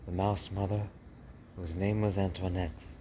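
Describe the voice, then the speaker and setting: Deep, slow male storybook narrator. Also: flat delivery, mellow, a little hiss or hum.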